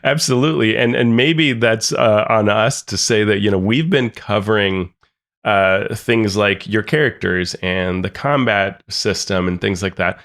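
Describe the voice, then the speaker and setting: A man's voice: fairly low pitch, a little slow, fairly bright tone. A man co-hosting a podcast in casual, upbeat chat, his voice thick and congested from a cold. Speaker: podcast co-host.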